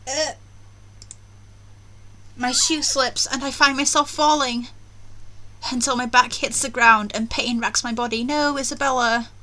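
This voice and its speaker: A woman's voice: sits high, fairly even intonation, measured pace, pauses often, bright, forceful tone. A woman hosting a gaming video, reading a story aloud theatrically with casual commentary. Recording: faint music behind.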